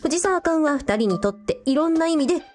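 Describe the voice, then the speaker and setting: A woman's voice: high-pitched, expressive, brisk, a little mellow, faint music behind. A woman playing a teasing, sociable young elder sister in a radio drama, with a casual, playful way of talking. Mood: fond, explaining.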